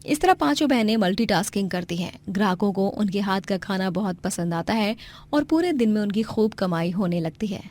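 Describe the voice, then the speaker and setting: Woman narrator with medium-pitched voice. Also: expressive, a little fast, a little mellow. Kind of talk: scripted story narration.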